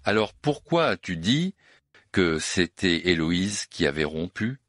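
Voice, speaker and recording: A stern middle-aged father who speaks slowly in a very deep voice. He scolds and questions with heavy authority. The recording is dry and close. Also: very animated, a bit bright, dry recording, full character voice. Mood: questioning. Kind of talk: dubbed drama acting.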